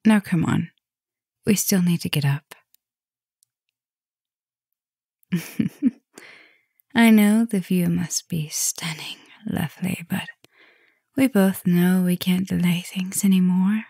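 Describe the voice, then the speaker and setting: Female performer as loving vampire professor, intimate ASMR roleplay, soft whispery delivery, gravelly creak, close dry sound, teasing. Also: fairly low pitch, steady tone, takes it slowly, pauses often, dry recording, exaggerated voice. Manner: soft, breathy, teasing, often dropping to a whisper.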